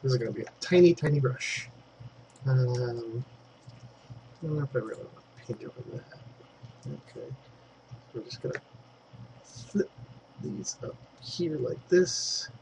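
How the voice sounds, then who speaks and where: Stuffy-nosed guy talking through a paint tutorial, mid pitch, faint room hum behind. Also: measured pace, creaky, pauses often. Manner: chatty, thinks aloud while working, trailing fillers. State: congested, clearing throat. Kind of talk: casual screen-recorded painting tutorial.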